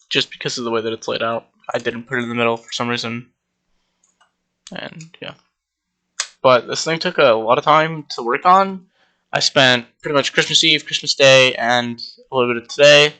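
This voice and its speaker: A young man talks through a game build in a loose, rambling way, filling pauses with ums. His voice is bright and a little congested. He speaks into a desk mic. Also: medium pitch, smooth. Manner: rambling, relaxed, many ums. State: slightly congested. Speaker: Minecraft server player. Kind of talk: casual gameplay walkthrough.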